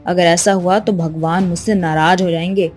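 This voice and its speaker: A woman's voice: on the low side, fairly even intonation, moderate pace, a little mellow, close to an everyday voice. A woman voicing a scolding mother-in-law. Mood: angry. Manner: scolding, contemptuous. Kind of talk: animated moral story dubbing. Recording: music bed behind voice.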